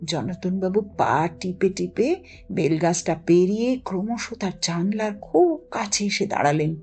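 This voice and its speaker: A woman's voice: lowish voice, moderate pace, darkish tone, husky. A woman narrating a written story in a dramatic storytelling style. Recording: music under the voice. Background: background music.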